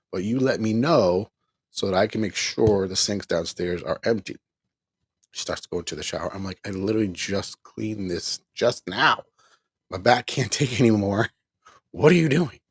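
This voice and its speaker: A tired man speaks casually, rambling through a candid personal story and breaking into laughs between complaints. His voice sits fairly low with a husky grain. The sound is dry and close, with almost no room around it. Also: no room sound. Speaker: online ministry host. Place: home.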